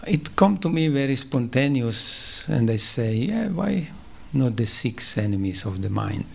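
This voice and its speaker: A man from southern Switzerland whose first language is Italian, giving a spiritual lecture at a temple in English with an Italian accent. He speaks haltingly, pausing, using fillers and clearing his throat. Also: medium pitch, big pitch swings. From Ticino, southern Switzerland. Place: temple hall.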